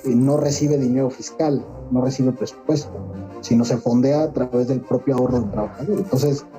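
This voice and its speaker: A man's voice: medium pitch, fairly even intonation, moderate pace. A man interviewed in a news report, explaining in a hesitant way with fillers. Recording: dull, lo-fi clip with loud music underneath.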